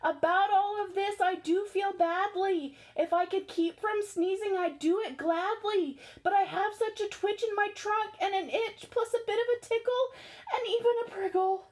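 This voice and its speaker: A big, nervous storybook elephant, squealing and pleading in a voice pitched even higher than the reader's own very high voice, as a woman puts him on. He sounds fretful and easily frightened, fluttering up into thin, breathless pleas. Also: normal speed. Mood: apologetic.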